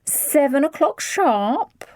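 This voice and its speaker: A woman's voice: sits high, big pitch swings, slow, a little mellow, dry recording, voice pushed up, exaggerated voice. A woman voicing a posh, gracious upper-class lady who speaks in a languid, affected way.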